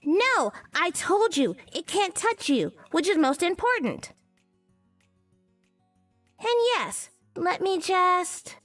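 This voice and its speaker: Young spellcasting priestess girl for a visual novel, really high lively voice, sure of herself, some music under it. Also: slow pace, pauses often, full character voice. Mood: confident, insistent. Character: matter-of-fact young priestess girl who casts spells. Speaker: video game voice actor. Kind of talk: visual novel game dialogue.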